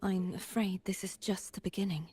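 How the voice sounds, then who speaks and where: A woman voicing a calm, mysterious young guide in a video game, soft and breathy, with a measured, solemn delivery. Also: medium pitch, a little hiss or hum, close to an everyday voice. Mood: grave, measured. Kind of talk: voiced game dialogue.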